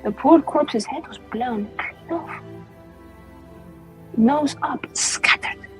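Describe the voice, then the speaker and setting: A woman playing a coy, gossiping noblewoman in a tabletop game, speaking in an arch, theatrical way with hesitant, drawn-out phrasing. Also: sits high, a bit bright, faint music behind, voice pushed up. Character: gossiping, coy noblewoman feigning disbelief at lurid rumors.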